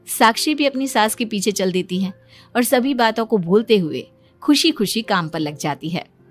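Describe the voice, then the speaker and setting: Woman narrator reading a moral story script, medium pitch, bit of music behind.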